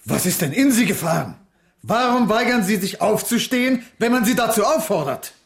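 An actor playing a stern, brusque official questioning an accused person in a radio play, curt and officious. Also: male voice, sits high, lively intonation, moderate pace, vocal fry. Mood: indignant, stern.

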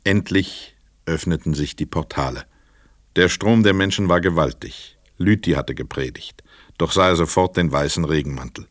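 A man reading scripted literary narration for a radio play in a measured, precise storytelling manner. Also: low-pitched, no echo, clean studio sound.

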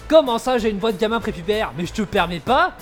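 A man's voice: high voice, lively intonation, quick pace. A sarcastic male spirit, played by an actor, teasing and wry, lives inside a young woman's head. He speaks in an everyday voice, as in an audio drama with music. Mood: indignant.

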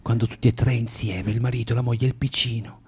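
An actor in an Italian radio drama playing a bitter, self-loathing man who lectures a young woman haltingly and theatrically, swinging from tender to vehement. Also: medium pitch, fairly even intonation, slightly rough. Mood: tender, rapt.

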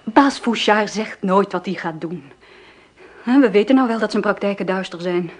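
A jittery young mother in a radio play, voiced by a woman, stumbling over her words and rushing past awkward questions. Her voice is breathy and slightly husky, at an ordinary middle pitch. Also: close to an everyday voice. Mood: nervous. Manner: stammering, hurried, evasive. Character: nervous young mother Sylvie, evasive and jumpy.

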